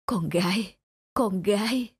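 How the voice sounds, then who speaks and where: A frail dying old woman. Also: mid-range voice. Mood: frail, tender. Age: older. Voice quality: weak, breathy and halting. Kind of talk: animated story voice acting. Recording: loud background music.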